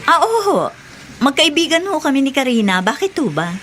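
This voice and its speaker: A woman's voice: high voice, very animated, a little fast, a bit bright, slight background noise. A woman with a Filipino accent playing a respectful, cautious schoolteacher in a radio drama. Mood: curious, cautious. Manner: respectful, hesitant. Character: tactful, respectful female schoolteacher, friendly and cautious.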